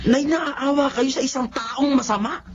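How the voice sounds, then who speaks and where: An actor playing an angry, unforgiving young son with a heated, accusatory manner in a Filipino radio drama. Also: male voice, very high voice, fairly even intonation, moderate pace, lo-fi sound, a little hiss or hum. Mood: defiant, angry. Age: young adult.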